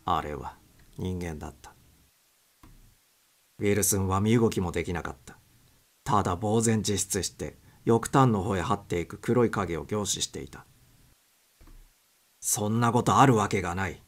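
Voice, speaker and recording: A man's voice: sits low, moderate pace, long pauses. A man reading suspense fiction aloud as scripted audiobook narration.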